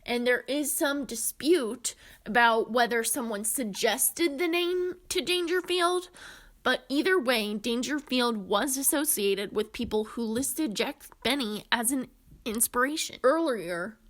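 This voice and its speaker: A woman in her twenties with a fairly high voice presents a scripted essay. She speaks as a narrator guiding viewers through her material. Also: unhurried, a bit bright. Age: 20s.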